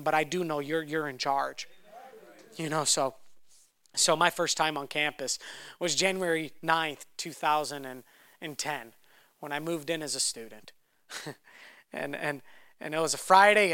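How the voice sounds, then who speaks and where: Young male preacher, Romanian first language, high bright voice, clear and dry, fervent, stutter-starts. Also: no echo. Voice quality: repeats words when restarting. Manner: builds to loud fervent preaching. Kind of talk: sermon with personal story.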